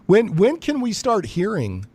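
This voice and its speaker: A man's voice: high-pitched, wildly swooping pitch, a little slow, a little mellow, husky. A man hosting a casual live radio interview in a chatty, enthusiastic way. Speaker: radio show host.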